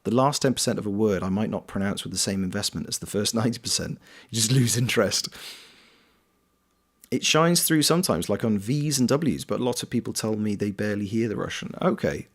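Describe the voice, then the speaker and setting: A man's voice: on the low side, expressive, brisk. A British man streaming a game, chatting casually and reading viewers' comments aloud, greeting them by name. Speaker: streamer and voice actor.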